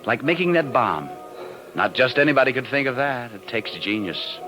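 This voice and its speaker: Cool wisecracking radio detective, kinda low voice that swings a lot, faint music, old recording. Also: male voice, sing-song, normal speed, bright, forceful tone, poor audio. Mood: coaxing, calm. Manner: glib, coaxing, wisecracking. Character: wry, cool-headed male private detective. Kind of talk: 1950s radio crime drama acting.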